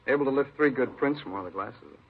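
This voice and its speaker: An actor playing a laconic, methodical police lab sergeant in a 1950s radio drama, husky and understated, in short clipped phrases. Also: male voice, mid-range voice, animated, fast. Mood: matter-of-fact. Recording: dull old-time radio recording.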